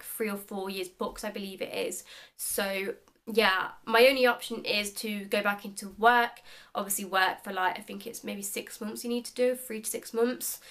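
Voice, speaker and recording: A young woman in her mid-twenties talks straight to her viewers in a relaxed, chatty vlog. Her delivery is upbeat and rambling, sprinkled with filler words. Her voice sits at an ordinary middle pitch for a woman. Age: 20s. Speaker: beauty vlogger.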